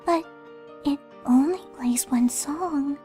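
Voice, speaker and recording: A woman voicing a young, gentle, curious filly with simple childlike speech in an audio drama. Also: high-pitched, slow. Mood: wistful. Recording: music playing behind the voice.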